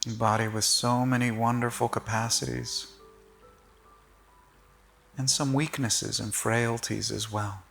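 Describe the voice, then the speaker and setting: A man leading a guided body-scan meditation in short, gentle, measured phrases with long pauses. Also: mid-range voice, flat delivery, vocal fry, faint music behind.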